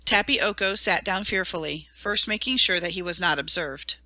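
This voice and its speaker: A woman narrating a storybook audiobook in a clear, even manner. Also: on the low side, moderate pace, no echo.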